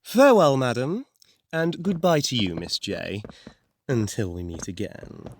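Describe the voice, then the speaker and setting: A man's voice: mid-range voice, lively intonation, unhurried, a little hiss or hum. A man playing a cowardly young mafia boss in an audio drama, stammering, blustering and nervously polite. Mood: flustered. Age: young adult. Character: cowardly loudmouthed young male mafia don, fawning and flustered. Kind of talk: audio roleplay acting.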